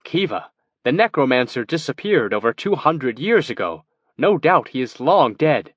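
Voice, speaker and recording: A man's voice: medium pitch, very animated, moderate pace, dry recording. A man voicing a skeptical young human battle master who speaks bluntly.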